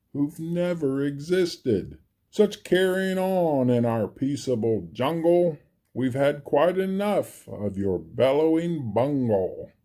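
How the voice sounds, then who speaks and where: A man's voice: a little high, dark tone, voice pushed up, exaggerated voice. A man voicing a big female kangaroo in a storybook, haughty, snappy and scornful.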